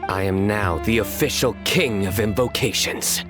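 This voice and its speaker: A man playing a stern young general in a video game, serious, deadpan and measured. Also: fairly low pitch, music in the background, lightly acted. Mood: solemn.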